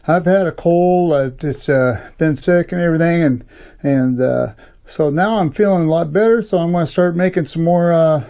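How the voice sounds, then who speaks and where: Folksy American storyteller, gravelly higher-pitched man. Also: dark tone. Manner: folksy, informal, halting delivery with frequent "you know". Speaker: YouTube storyteller and channel host. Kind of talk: casual rambling personal storytelling.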